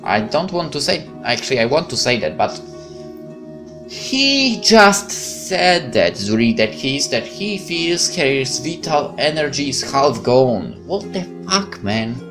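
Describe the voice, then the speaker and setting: A man hosting a gaming video, reading a visual novel aloud with casual commentary and halting, stumbling delivery. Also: mid-range voice, very animated. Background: music.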